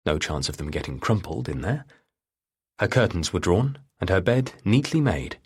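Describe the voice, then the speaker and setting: A man narrating a thriller novel with a husky voice and measured, deliberate phrasing. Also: deep voice, very animated. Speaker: audiobook narrator.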